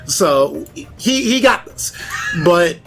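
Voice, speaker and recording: A man chatting casually as a panelist and video game fan, animated and repeating phrases for emphasis. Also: high voice, slow, creaky, soft background music. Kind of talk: casual chat.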